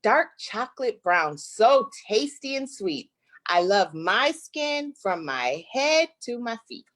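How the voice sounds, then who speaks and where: A woman from Michigan, a guest author, reading a picture book aloud to children in an expressive, drawn-out way. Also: on the high side. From Flint, Michigan, United States.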